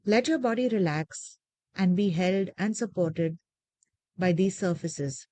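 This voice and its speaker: A woman leading a guided meditation with gentle, measured, soothing instructions and deliberate pauses. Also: lowish voice, no echo.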